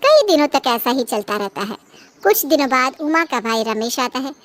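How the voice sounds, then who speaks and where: Casting: female storyteller for cartoon moral tales, extremely high voice, scripted narration. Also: sing-song, normal speed, a bit bright.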